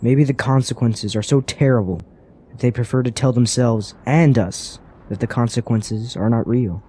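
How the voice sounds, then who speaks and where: An actor playing a cautious, earnest traveler in a fantasy radio drama, speaking formally and gravely. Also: male voice, medium pitch, dark tone, lightly acted. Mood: grave, reflective. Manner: formal, grave, measured phrasing. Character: cautious, earnest male traveler wary of dark woods.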